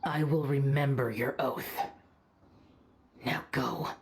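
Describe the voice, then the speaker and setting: A man's voice: medium pitch, steady tone, takes it slowly, noisy background. An actor playing an aloof, brooding young male demon-slayer in a video game, terse and solemn. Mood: stern.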